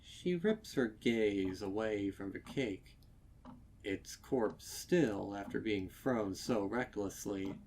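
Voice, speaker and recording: A man reading a story as narrator in a dramatic, measured and suspenseful manner. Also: lowish voice, a little mellow, a little hiss or hum.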